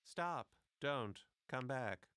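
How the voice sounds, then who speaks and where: A man voicing a coy, unflappable, eccentric candy factory owner in an animated film, with theatrical, teasing delivery. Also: mid-range voice, very slow, background noise. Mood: mildly resigned. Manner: theatrical, teasing, mock-earnest.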